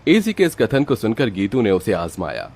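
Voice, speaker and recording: A man's voice: fairly low pitch, huge pitch swings, normal speed, darkish tone. A man narrating a scripted moral story as its storyteller.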